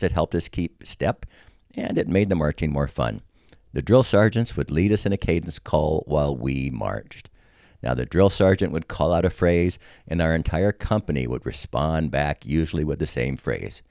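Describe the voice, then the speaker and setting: An older American man with an extremely low, creaky voice reminisces like a podcast storyteller. He speaks in a measured, conversational way, and the sound is close and dry. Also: lively intonation, a little mellow, dry recording. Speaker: storyteller, army veteran. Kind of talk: personal reminiscence, podcast narration.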